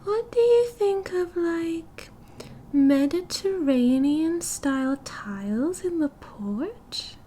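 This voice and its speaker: A woman's voice: extremely high pitch, animated, mellow, a little hiss or hum, exaggerated voice. A British woman playing a cheerful, oblivious and possessive yandere girlfriend in an ASMR roleplay, with a breezy, giggly, dramatic manner. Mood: chatty. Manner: giggly, breezy, dramatic, chatty with drawn-out casual delivery.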